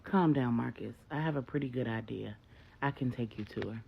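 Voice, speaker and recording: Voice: medium pitch, brisk, vocal fry, lo-fi sound, slight background noise, pitched below the performer's own voice, natural voice. A storyteller voicing a tough, menacing man who talks in blunt, taunting slang, in a bedtime story for grown-ups. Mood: calm, firm. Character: LJ, tough, menacing, brash man, sure of himself.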